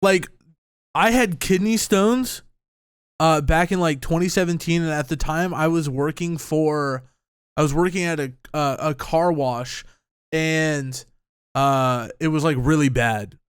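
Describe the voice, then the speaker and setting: Dude cussing and laughing at clips, smooth voice, super dry close mic. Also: male voice, on the high side, no echo. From United States. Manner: profane, laughing, blunt. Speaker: reaction video host. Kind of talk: casual reaction commentary.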